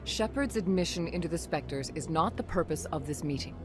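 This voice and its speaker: An actress playing a composed alien councilor in a video game, speaking in a measured, official and diplomatic way. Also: female voice, deep voice, lively intonation, over music. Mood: calm authority. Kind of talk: dramatic video game dialogue.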